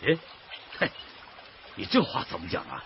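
Over a dull recording with clear background noise comes a plump, jovial old gentleman. His folksy, inquisitive talk rises and falls widely, in radio play style. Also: deep voice, huge pitch swings, full character voice. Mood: puzzled, probing. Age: older. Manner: chuckling, folksy, drawn-out interjections. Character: jovial, curious fat old gentleman passenger. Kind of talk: radio drama acting.

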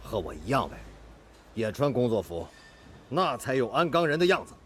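An actor playing a modest, hearty middle-aged master fitter from a steel plant in a radio drama. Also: male voice, mid-range voice, big pitch swings, moderate pace, a bit bright, slight background noise. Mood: amused, reminiscing.

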